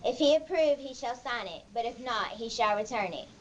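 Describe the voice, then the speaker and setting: A woman with a slightly high voice reads formal legal wording aloud, with faint noise in the recording. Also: lively intonation, moderate pace, dull audio. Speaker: participant reader.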